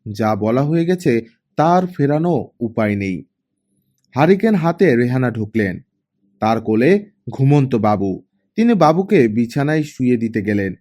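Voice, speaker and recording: A man's voice: medium pitch, big pitch swings, moderate pace, long pauses, dark tone. A man reading a work of fiction as an audiobook narrator, in a dramatic storytelling style.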